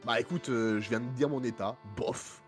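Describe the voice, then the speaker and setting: A man with a head cold and a hoarse voice, hosting a casual podcast chat with many fillers and self-mocking asides. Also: mid-range voice, moderate pace, soft background music. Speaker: podcast host.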